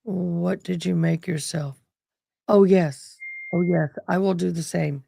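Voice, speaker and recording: A woman's voice: fairly low pitch, fairly even intonation, slow, creaky, dark tone, slightly rough, no echo. A tired woman hosting a casual livestream, her voice worn out and struggling, who talks affectionately to her viewers. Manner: affectionate, calls viewers babe and love. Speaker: livestream host covering a trial.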